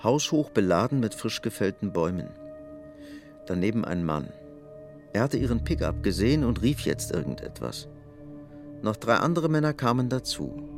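Creaky-voiced male narrator with lively intonation. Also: lowish voice, normal speed, long pauses, soft background music. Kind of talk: scripted literary narration in German audio drama.

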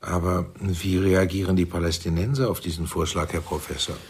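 An actor playing a shrewd, commanding intelligence chief in a German radio play, speaking deliberately with sardonic persuasion. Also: male voice, low voice, flat delivery, slightly rough. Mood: politely measured.

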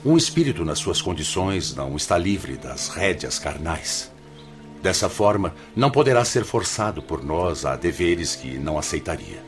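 Grave wise spirit brother, deep-ish, formal measured radio play delivery, lots of melody in it. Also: male voice, very animated, over music. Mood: solemn. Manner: solemn, measured, formal counsel. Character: grave, patient spirit mentor brother, fatherly but stern. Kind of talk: radio drama acting.

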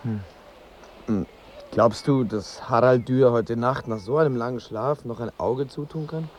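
A man's voice: medium pitch, measured pace, a little mellow, a little hiss or hum, natural voice. An actor in a radio drama playing a married woman's earnest, evasive lover, speaking formally and with understatement. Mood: polite, curious.

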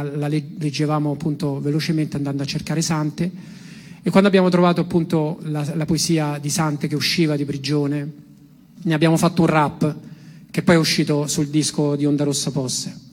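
A man recounts memories informally. His voice sits a little high and moves within a very narrow melody, halting as he looks for the next word. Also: level tone, darkish tone. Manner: colloquial, halting, searching for words. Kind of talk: informal personal recollection.

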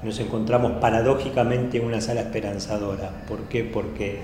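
A man's voice: lowish voice, steady tone, fairly quick, warm tone. A man giving a spoken account and explanation. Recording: noisy, echoey hall with long reverb.

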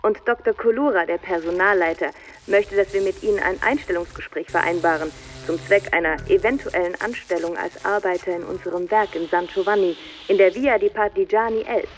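An actress playing a businesslike company secretary making a formal phone call in a radio drama, official and precise. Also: female voice, mid-range voice, a little fast, slight background noise, lightly acted. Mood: formal, courteous.

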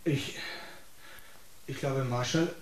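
A man playing a hesitant, halting inspector. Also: medium pitch, fairly even intonation, reverberant, a little hiss or hum, natural voice, poor audio.

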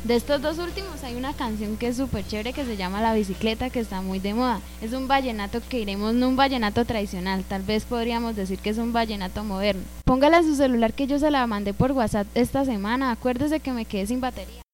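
A woman's voice: on the high side, fast talker, few pauses, darkish tone, soft background music, natural voice, poor audio. A woman playing a chatty, insistent young woman who loves modern pop, in an amateur radio drama.

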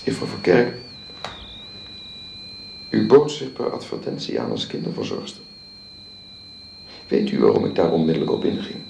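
A man's voice: sits low, huge pitch swings, normal speed, creaky, long pauses, mellow, exaggerated voice. An actor playing a courteous middle-aged father and employer in a Dutch radio drama, speaking formally, hesitantly and persuasively. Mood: musing, intimate. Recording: roomy, hissy old radio recording.

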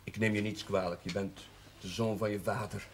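An actor playing a kindly, persuasive village chaplain in a 1970s television drama, speaking in a measured, earnest way. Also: male voice, on the low side, fairly even intonation, lightly acted. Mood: firm, familiar. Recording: noisy 1970s television soundtrack.

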